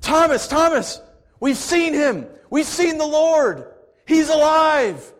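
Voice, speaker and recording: A man voicing the apostle Peter, a bold and loyal man who shouts in high, loud bursts. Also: big pitch swings, slow, heavily acted. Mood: overjoyed.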